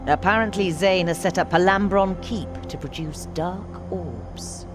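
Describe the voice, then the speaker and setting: A woman's voice: on the low side, a little slow, music in the background, close to an everyday voice. A woman playing a serious, resolute resistance leader, giving earnest, authoritative mission briefings in a fantasy game. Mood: businesslike.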